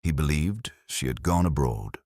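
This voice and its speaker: A man acting as a brooding, bookish detective inspector with an extremely deep voice and a close, dry sound. He narrates a mystery in measured, wry, literary phrases, with lively rises and falls in pitch. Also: no echo. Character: brooding, erudite male detective inspector telling his case. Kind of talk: scripted first-person mystery narration.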